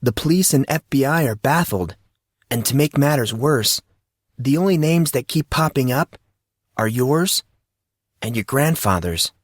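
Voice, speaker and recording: A man's voice: medium pitch, animated, unhurried, no room sound, studio quality, voice pushed up. A man voicing a chatty, well-informed teenage schoolboy in an audiobook, explaining things casually and eagerly. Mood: earnest explaining.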